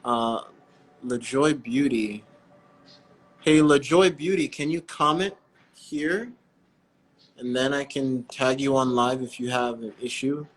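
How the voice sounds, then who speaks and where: A man hosting a breathwork live stream, chatting in a relaxed, friendly way with callers and often correcting himself mid-sentence. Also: medium pitch, slow, long pauses, a little hiss or hum.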